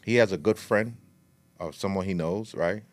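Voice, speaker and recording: A man's voice: sits low, normal speed. A middle-aged man from Liberia, telling his story as a podcast guest in a casual, long-winded way with street slang. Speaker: guest, food entrepreneur.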